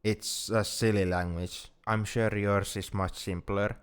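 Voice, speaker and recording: A man playing a shy, tender, awkward alien in love in an intimate ASMR roleplay, speaking gently and haltingly, often repeating words. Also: fairly low pitch, little pitch movement, clean tone, close to an everyday voice. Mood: nervous, earnest.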